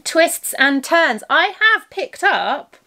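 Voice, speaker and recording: A woman with a hoarse, worn voice rambles cheerfully through a casual podcast chat. She talks the camera through her knitting projects piece by piece, correcting herself and wandering off on small asides as she goes. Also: very high-pitched, sing-song, slow pace, bright, forceful tone. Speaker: crafting podcast host and yarn shop owner.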